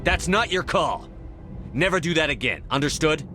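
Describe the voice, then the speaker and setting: An actor playing a steady military commander hero in a video game, with a firm, direct manner. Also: male voice, a little high, moderate pace, music in the background, natural voice. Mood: angry.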